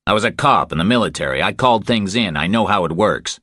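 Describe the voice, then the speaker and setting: A man's voice: low voice, lively intonation, fast talker, a bit bright, no room sound, clean studio sound, pitched above the performer's own voice. A man voicing a blunt, terse former military policeman in an audiobook, speaking with urgent insistence. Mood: urgent, insistent.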